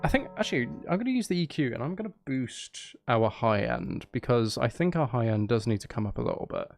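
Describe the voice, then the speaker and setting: A man's voice: medium pitch, sing-song, a little fast, gravelly. A man chatting casually on a live stream as he makes music, geeky and playful, thinking aloud in a rambling way.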